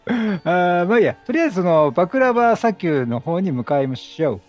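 Male gameplay commentator, thirties to forties, slightly high, animated, talkative and quippy, over game music. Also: brisk. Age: 30s or 40s. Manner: chatty, reacts and quips at the characters.

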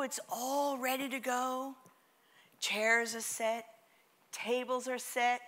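Energetic New York woman on stage. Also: high voice. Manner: energetic, audience call-and-response. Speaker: keynote speaker. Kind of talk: motivational stage talk. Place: large theater stage.

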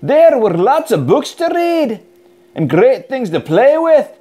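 An expressive Scottish man reads a children's story aloud. His pitch moves widely and he takes his time over the words, keeping the delivery energetic. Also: slow pace. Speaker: storybook narrator. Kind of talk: animated children's read-aloud.

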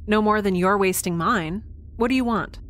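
A woman's voice: medium pitch, moderate pace, fairly bright tone, lightly acted. A woman playing a sarcastic, defiant young spaceship dweller in an audio drama, with a flippant, casual delivery.

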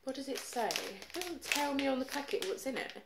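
A woman's voice: high-pitched, lively intonation, brisk, background noise. A young woman with a cold, chatting casually to her phone camera in her living room, rambling with lots of ums and likes. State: congested with a cold. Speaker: makeup vlogger. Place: home living room, indoors. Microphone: phone held at arm's length.

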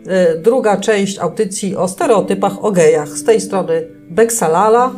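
A woman hosting a casual podcast who talks in a measured, unhurried way. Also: mid-range voice, warm tone, soft background music. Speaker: podcast host.

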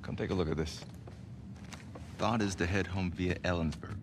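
An actor playing a steady, loyal young survivor in a video game drama, low-key and dry. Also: male voice, moderate pace, noisy background, lightly acted. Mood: matter-of-fact. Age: young adult.

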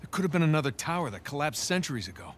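Wry, animated young American adventurer. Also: male voice, a little high, very fast, slight background noise. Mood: earnest, hurried. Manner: casual, wry, muttering to himself. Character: wry, restless young male treasure hunter in prison. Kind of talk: naturalistic video game acting. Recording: game ambience behind the voice.

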